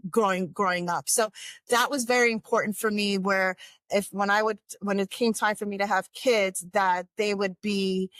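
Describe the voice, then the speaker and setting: A woman's voice: mid-range voice, steady tone, moderate pace, fairly bright tone, dry recording. A middle-aged woman from New York, a guest in a casual interview, rambling with frequent restarts and laughs. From New York, United States.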